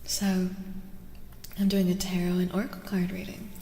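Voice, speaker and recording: A woman speaking softly in an ASMR style as she gives a tarot reading, often slipping into whispers. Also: lowish voice, completely flat delivery, moderate pace. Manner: soft-spoken, slipping into whispers. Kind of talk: soft-spoken ASMR. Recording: roomy, long reverb, faint noise.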